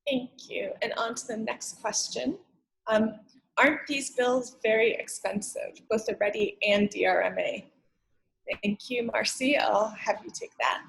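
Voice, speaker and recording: A woman with a fairly high voice and a strong creak acts as moderator. She politely reads out submitted questions in an orderly, measured manner. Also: low-quality recording. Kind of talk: reading out audience questions.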